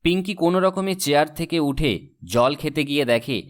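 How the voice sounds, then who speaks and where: A man's voice: fairly high pitch, animated, moderate pace. A man reading scripted storybook narration as the narrator of a cartoon tale.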